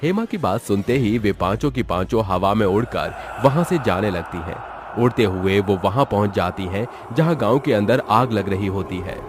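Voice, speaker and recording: Man, low voice, scripted horror storytelling, narrator, music clearly behind. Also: lively intonation, normal speed.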